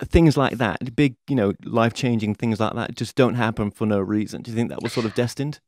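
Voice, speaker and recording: A man's voice: lowish voice, fairly quick, darkish tone, a little hiss or hum. A man hosting an interview, asking long rambling questions padded with fillers. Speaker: podcast host, interviewer. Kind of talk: conversational interview.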